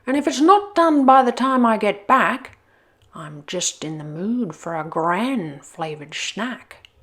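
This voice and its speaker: A woman's voice: medium pitch, lively intonation, slow, a bit bright. A woman voicing a cunning, vain male wolf with a boastful, gloating manner in a children's story. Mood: menacing.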